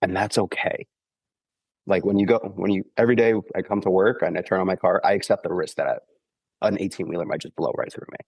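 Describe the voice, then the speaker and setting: A man with a very low voice, congested and sniffly after the flu, talks through running injuries at an easy, patient pace. He keeps checking in with "okay?" and fills his sentences with "like". The sound is dry and close to the mic. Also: steady tone, fairly quick, darkish tone, husky, no room sound. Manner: explains patiently, with many "like" and "okay?" check-ins. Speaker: podcast guest, running injury expert. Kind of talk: casual explanatory podcast talk.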